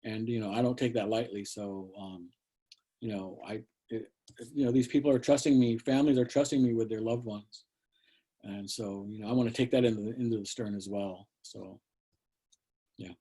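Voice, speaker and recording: A man's voice: lowish voice, fairly even intonation, normal speed, warm tone, husky. Rambling middle-aged man on a video call. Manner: rambling, many fillers and restarts. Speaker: guest artist. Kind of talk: casual conversational talk. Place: backyard studio shed.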